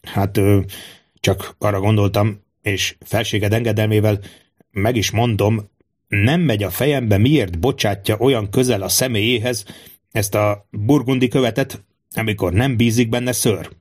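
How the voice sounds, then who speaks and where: A man's voice: lowish voice, fairly even intonation, close to an everyday voice. Guy reading as a loyal young guard talking to his king, formal, firm, respectful, storybook style. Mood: hesitant, respectful.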